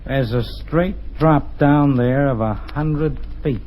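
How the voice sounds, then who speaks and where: A man's voice: medium pitch, slow pace, lightly acted. A man playing a curt, commanding kidnapper gang boss in an old radio drama. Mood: menacing. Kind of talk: 1940s radio acting. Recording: old dull lo-fi radio recording.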